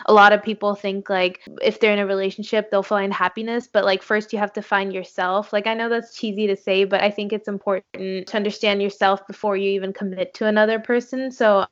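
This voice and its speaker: A woman's voice: mid-range voice, flat delivery, a little fast, few pauses, highs cut off. A young woman co-hosting a casual chat, talking chattily with lots of filler words. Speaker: co-host.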